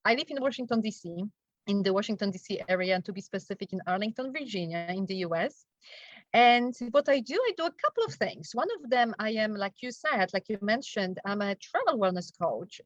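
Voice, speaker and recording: A woman's voice: mid-range voice, no room sound. A middle-aged woman from Poland with a Polish accent, a guest telling her story in a chatty way, laughing often and checking in with "right?". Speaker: guest, travel wellness coach. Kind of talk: casual interview chat. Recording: dull, dry lo-fi sound.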